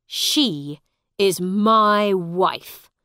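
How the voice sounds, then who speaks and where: A woman's voice: lowish voice, takes it slowly, clean tone, no room sound, studio quality. A woman voicing a cold, hostile nobleman in a storybook, with a stern, commanding manner. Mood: angry.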